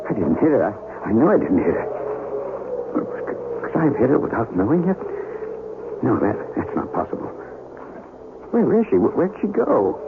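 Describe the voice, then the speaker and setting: An actor playing a practical, agitated middle-aged scientist in an earnest, halting, urgent way, in a 1970s radio drama. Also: male voice, fairly high pitch. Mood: alarmed, anxious. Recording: tinny lo-fi radio recording, faint noise.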